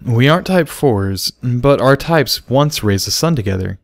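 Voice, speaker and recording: Man playing a patient, gentle unicorn teacher. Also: medium pitch, expressive, no echo, clean studio sound, pitched above the performer's own voice. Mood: patient, encouraging. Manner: slow, gentle, instructive. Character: patient adult male unicorn, gentle but commanding teacher. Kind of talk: storybook character voice.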